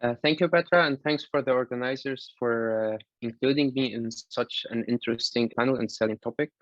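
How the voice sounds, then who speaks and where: A man with a Lebanese accent, an academic introducing himself on a panel over a video call in halting phrases. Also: mid-range voice, no room sound. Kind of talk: informal self-introduction on a panel. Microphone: online video call. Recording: dull lo-fi online call audio.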